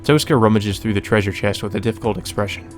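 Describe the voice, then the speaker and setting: Male let's player, low voice, narrates a visual novel with off-the-cuff asides over clearly audible music. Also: fast talker. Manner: slips into character dialogue, ad-libbed asides. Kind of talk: reading visual novel aloud with casual commentary. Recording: music behind the voice. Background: game music.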